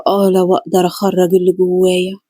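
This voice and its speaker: A woman's voice: fairly low pitch, monotone, fast talker, dark tone, husky, no room sound, studio quality, voice pushed down. A woman voicing a sick, guilt-ridden man who speaks tenderly and wearily with an Egyptian accent. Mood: tormented. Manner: weary, sighing, heavy-hearted.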